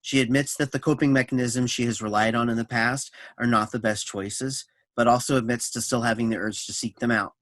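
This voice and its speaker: A man's voice: mid-range voice, fairly bright tone, no room sound. Man reading stage directions, dry close sound. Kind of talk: scripted narration for a staged play reading.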